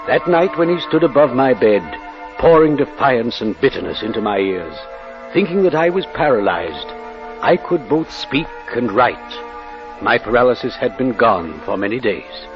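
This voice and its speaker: An actor playing a solemn clergyman, slow and oratorical, in 1940s radio drama style. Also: mid-range voice, sing-song, natural voice. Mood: grave, solemn. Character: solemn clergyman delivering a grave eulogy and testimony. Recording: dull old radio recording. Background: music.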